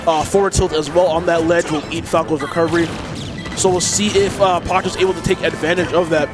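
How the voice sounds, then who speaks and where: A young man from Toronto doing energetic, joking live esports commentary. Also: a little high, brisk, over music. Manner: energetic, joking, hypes plays.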